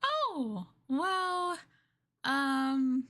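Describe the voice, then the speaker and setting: Slow, coy, possessive girlfriend played by a woman. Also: high-pitched, very animated, pushed and bright, heavily acted. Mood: coy, flustered. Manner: coy, doting, giggly, hesitant phrasing. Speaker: ASMR roleplay streamer. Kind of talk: ASMR girlfriend roleplay, improvised-sounding.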